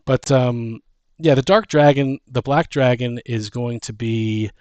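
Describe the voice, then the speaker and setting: A man co-hosting a casual chat, brainstorming fantasy lore in a halting, thinking-out-loud way. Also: mid-range voice, steady tone, no room sound. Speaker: podcast co-host.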